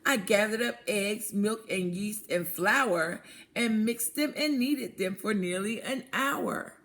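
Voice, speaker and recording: A woman voicing a hardworking, long-suffering little red hen from a children's storybook, emphatic and deliberate. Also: medium pitch, fairly bright tone. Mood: indignant.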